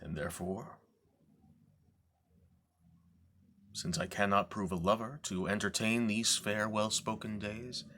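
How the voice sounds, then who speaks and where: A man performing a bitter, scheming, deformed villain duke in a dramatic, deliberate Shakespearean soliloquy. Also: lowish voice, fairly even intonation, slight background noise, natural voice. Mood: scheming, resentful. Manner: dramatic, deliberate, swinging from declaiming to hushed whisper.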